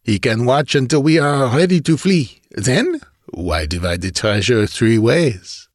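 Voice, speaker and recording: A man's voice: mid-range voice, sing-song, measured pace, no echo, studio quality, pitched above the performer's own voice. A man voicing a ruthless, cunning male bandit chief who snarls commands. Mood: scheming.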